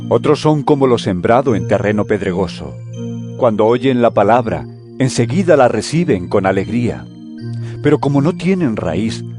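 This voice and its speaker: Male actor as authoritative holy teacher, calm, solemn, deliberate, declamatory, mid-pitched, audio Bible drama, music underneath. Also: close to an everyday voice.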